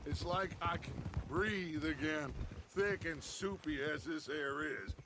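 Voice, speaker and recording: An actor playing a charismatic middle-aged American outlaw gang leader, speaking in a persuasive, theatrical, grandiloquent way in a western game. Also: male voice, a little high, slow pace, noisy background. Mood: hopeful, commanding. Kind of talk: dramatic western game cutscene acting.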